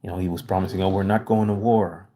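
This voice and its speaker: A lower-pitched man whose first language is French talks casually on his livestream, rambling and reacting to his chat with plenty of fillers. There is a clear hiss of noise under his voice. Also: fairly even intonation, quick pace, mellow. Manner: loose, rambling, fillers like "you know". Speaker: livestream host.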